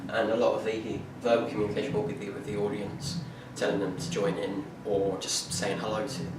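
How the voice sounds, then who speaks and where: A young man giving an informal class presentation, talking in a halting way with many fillers and restarts. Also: fairly low pitch, monotone. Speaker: music student presenting a performance plan. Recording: echoey room from far off, noisy.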